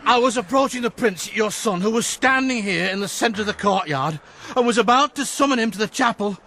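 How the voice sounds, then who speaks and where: A man's voice: high voice, expressive, fairly quick, bright, forceful tone, full character voice. A man playing a flustered, deferential, frightened servant in a radio drama. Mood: horrified awe. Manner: agitated, stammering, deferential.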